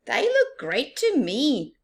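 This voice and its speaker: A woman voicing a cheerful, helpful baboon, an encouraging old friend in a children's storybook, in an animated way. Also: high voice, takes it slowly, voice pushed up, heavily acted. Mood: upbeat, encouraging.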